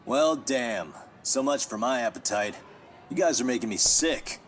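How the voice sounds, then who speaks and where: An actor playing a calm, shrewd, principled man in a video game, speaking in a measured, wry way. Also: medium pitch, a little hiss or hum, lightly acted. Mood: disgusted challenge. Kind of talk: English video game voice acting.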